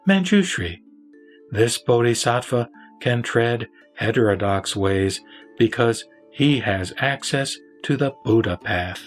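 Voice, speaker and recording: A man reciting scripted religious scripture as a narrator, in a formal, measured, declamatory manner. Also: mid-range voice. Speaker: narrator and reader. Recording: background music under the voice. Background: music.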